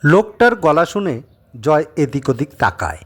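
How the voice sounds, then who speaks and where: A man narrating a scripted dramatic story in an expressive manner. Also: on the high side, normal speed.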